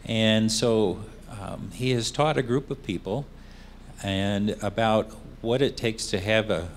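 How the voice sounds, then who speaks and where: A man's voice: on the low side, a little hiss or hum. A man introducing the next speaker at a conference, hesitant and full of fillers. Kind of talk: formal introduction.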